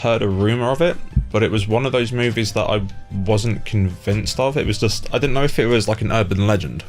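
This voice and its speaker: A man's voice: fairly low pitch, brisk, soft background music. A man hosting a casual interview, chatting in an informal, blunt way with loose, sweary phrasing. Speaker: interviewer, host. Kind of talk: casual chat.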